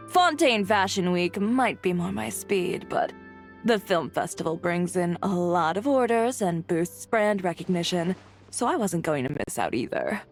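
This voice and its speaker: A woman's voice: fairly low pitch, expressive, measured pace. A woman voicing a practical fashion designer in a game, speaking in a dry, matter-of-fact way. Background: music.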